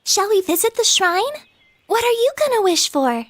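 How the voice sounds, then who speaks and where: A woman's voice: very high voice, animated, normal speed. A woman voicing a shy, devoted teenage schoolgirl in an anime-style video game, girlish and sweet, with a hesitant, flustered, polite way of talking. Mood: happy, affectionate.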